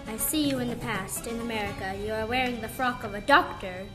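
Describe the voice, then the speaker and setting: Solemn wise old mystic lady teen girl doing it, kinda high voice, radio play with music behind. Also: a little fast, lightly acted. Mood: solemn, probing. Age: teenager. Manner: solemn, portentous, formal. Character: stern, wise old woman mystic master. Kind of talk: school radio play acting. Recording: music under the voice.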